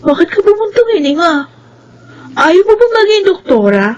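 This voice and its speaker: A Filipino woman playing a supportive high school girl in a radio drama, chatty and sympathetic. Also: very animated, normal speed, darkish tone, lo-fi sound. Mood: sympathetic, curious.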